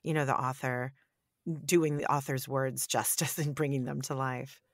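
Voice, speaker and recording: A woman who narrates audiobooks, with a deep, raspy voice, chatting enthusiastically in an interview and often saying "you know.". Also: fairly even intonation, normal speed, no echo, clean studio sound. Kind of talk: conversational interview answers.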